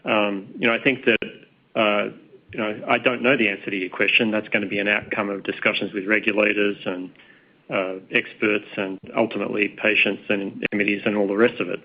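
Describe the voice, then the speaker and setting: A man speaking as a company executive, giving measured, careful answers on an earnings call. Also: on the low side, level tone, breathy edge. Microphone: conference call line. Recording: slightly dull conference-call audio.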